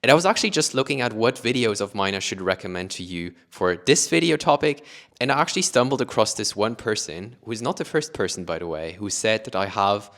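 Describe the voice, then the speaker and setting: A man's voice: on the low side, expressive, brisk, fairly bright tone. A young man from Luxembourg with an international accent shaped by British-taught English, explaining camera gear to his viewers and rephrasing as he goes. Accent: international mix, Luxembourgish with British-taught English. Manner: explanatory, rephrases with "let's say" and "additionally". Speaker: photography YouTuber. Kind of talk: talking to camera about gear.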